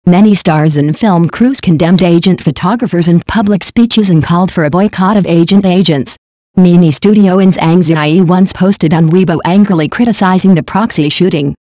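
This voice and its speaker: A text-to-speech narrator reads a celebrity news story at a mid pitch, steady and even throughout. The phrasing comes out literal and mechanical, and the voice sits dry and close with no room around it. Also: moderate pace, no room sound.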